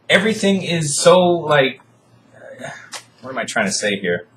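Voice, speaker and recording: A man in his thirties in a casual debate, halting and searching for words, giving ground and then pushing back. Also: mid-range voice, fairly bright tone, a little hiss or hum, rough recording. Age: 30s. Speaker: co-host debating. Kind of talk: casual argument.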